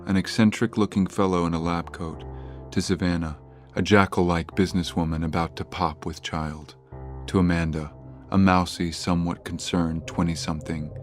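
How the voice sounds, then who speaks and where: An actor serving as the narrator of an audio drama, reading scripted scene descriptions. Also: male voice, sits low, steady tone, moderate pace, faint music behind, lightly acted. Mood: measured.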